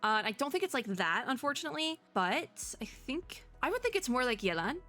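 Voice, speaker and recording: A woman's voice: high voice, big pitch swings, a little fast, sharp tone, faint music behind. A young woman doing casual live reaction commentary as a streamer, chatty, enthusiastic and informal. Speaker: streamer reacting to game trailers.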